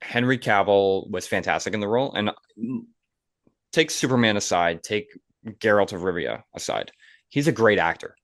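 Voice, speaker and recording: A man's voice: on the low side, animated, normal speed, a bit bright, no room sound. A man hosting a podcast, chatting casually and giving his opinions in a conversational way. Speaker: podcast host.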